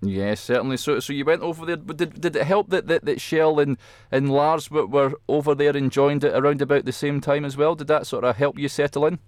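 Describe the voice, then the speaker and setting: A man's voice: on the high side, fairly quick, few pauses, dry recording. Stammering male interviewer, close dry sound. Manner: stammering repeats, agreeable. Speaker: interviewer, host. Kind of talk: casual fan interview.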